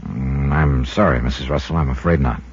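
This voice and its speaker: An actor playing a dry, world-weary insurance investigator in 1950s radio detective style, clipped and deadpan, with an American accent. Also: male voice, extremely low pitch, moderate pace, a little hiss or hum. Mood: polite, probing. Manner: clipped, hard-boiled, deadpan. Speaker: lead actor and narrator of a detective series. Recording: muffled 1950s radio recording, music behind.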